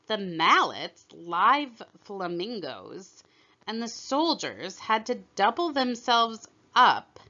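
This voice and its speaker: Slow, bright-voiced woman reading aloud. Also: mid-range voice, dull audio. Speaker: storyteller reading aloud for a museum. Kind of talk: scripted children's story narration.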